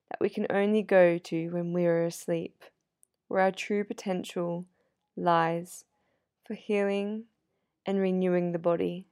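Low-voiced woman guiding sleep meditation. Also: dry recording, studio quality. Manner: soothing, unhurried, gently instructive. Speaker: yoga nidra meditation guide. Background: soft sound healing instrumental music.

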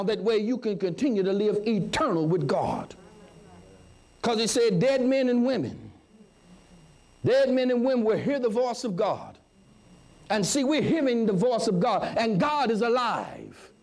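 High-pitched, animated male preacher. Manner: emphatic, repetitive, with call-and-response questions to listeners.

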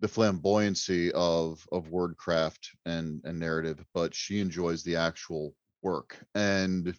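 A man's voice: low voice, fairly even intonation, slow. A man chatting casually as a guest author on a podcast, wordy, self-deprecating and joking. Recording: roomy, slightly dull microphone sound.

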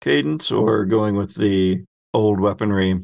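A man's voice: fairly low pitch, measured pace, vocal fry, a little mellow. A man speaking casually as the game master of a tabletop roleplaying game, narrating and explaining rules.

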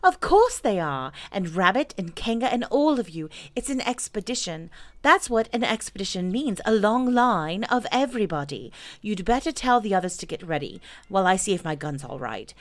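A woman voicing a confident, carefree, gently bossy young boy in a children's storybook, breezy and assured. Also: medium pitch, big pitch swings, moderate pace, close to an everyday voice.

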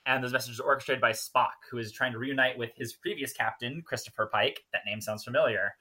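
A man's voice: medium pitch, brisk, sharp tone. A man co-hosting a podcast, recapping and chatting casually with wry asides. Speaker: podcast co-host. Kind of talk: casual chat and episode recap.

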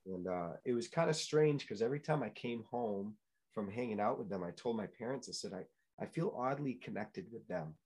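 Young guy from new england, kinda low voice, earnest, lots of ums and restarts. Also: animated, fairly quick, a little mellow, breathy edge, rough recording. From New England, United States. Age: young adult. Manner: earnest storyteller, many fillers and restarts. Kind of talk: casual interview chat.

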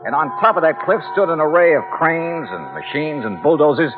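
An actor playing a brisk, wry American insurance investigator who narrates in clipped, punchy 1950s radio drama style. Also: male voice, a little high, lively intonation, natural voice. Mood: wry, brisk narration. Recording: tinny old radio recording, music behind.

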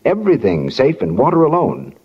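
Animated, slightly husky male radio announcer. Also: brisk. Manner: polished sales pitch. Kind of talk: 1940s radio advertising announcement. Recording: muffled 1940s radio recording.

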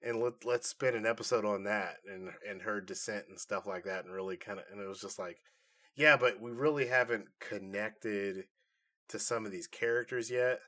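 A man's voice: on the low side, moderate pace, sharp tone. Casual, slangy man co-hosting a review podcast. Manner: conversational, slangy, opinionated. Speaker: podcast co-host reviewing nerd culture.

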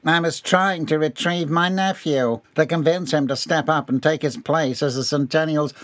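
A man's voice: a little high, moderate pace, a bit bright, no echo, pitched above the performer's own voice, natural voice. A man voicing a hapless, whining employee in an audiobook, confused and hesitant, feigning innocence. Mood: confused, innocent. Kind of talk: dramatised audiobook dialogue.

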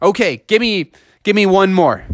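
A man voicing an excitable, lovestruck young male frog in a children's story. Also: medium pitch, moderate pace, no room sound, studio quality, voice pushed up, lightly acted. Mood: frustrated. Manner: exclaims, sings, swings between crooning and frustration.

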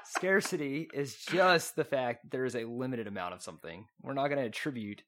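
Male co-host, mid-pitched, argumentative and relaxed. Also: brisk. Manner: argumentative, pushes back. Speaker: podcast co-host. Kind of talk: casual discussion podcast.

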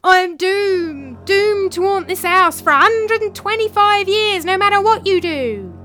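A woman puts on the doomed ghost of a murdered merchant from a storybook. He wails theatrically in a high, bright voice, mournfully drawing out his words. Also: animated, full character voice. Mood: doomed, emphatic. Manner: wailing, theatrical, drawn-out words. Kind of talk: dramatised storytelling.

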